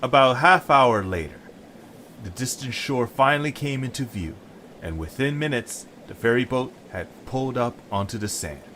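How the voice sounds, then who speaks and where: A man's voice: mid-range voice, expressive, normal speed, fairly bright tone. A man reading scripted story narration as the narrator of an audio drama. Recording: noisy home recording.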